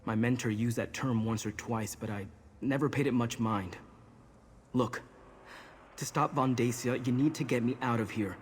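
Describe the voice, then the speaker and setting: A man's voice: mid-range voice, moderate pace, a little hiss or hum, natural voice. An actor playing a defiant, wry male prisoner awaiting sacrifice in a fantasy game, with a scornful, dramatic delivery. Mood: wry, urgent.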